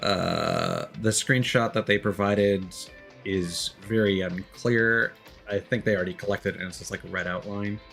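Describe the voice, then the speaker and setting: A man's voice: fairly low pitch, fairly even intonation, unhurried, creaky, a bit bright, faint music behind. A man giving casual livestream commentary on a video game, rambling and thinking aloud.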